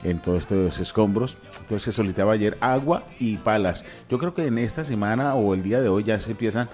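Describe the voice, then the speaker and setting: A man speaks as a radio co-host in a fairly low voice. He comments in an earnest, measured, conversational manner, often restating his phrases. Soft music runs in the background. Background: music behind the voice.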